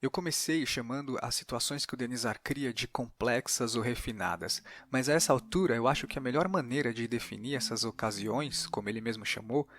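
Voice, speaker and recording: A man with a Brazilian Portuguese accent hosting a podcast, reading scripted analytical narration in a measured, explanatory way. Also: medium pitch, a bit bright. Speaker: podcast host. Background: faint guitar music.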